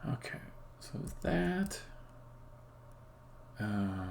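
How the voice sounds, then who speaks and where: A man live-streaming as a game developer, thinking aloud in a halting, muttering way as he codes. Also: medium pitch, sing-song, creaky. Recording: faint background noise. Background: computer keyboard typing and clicking.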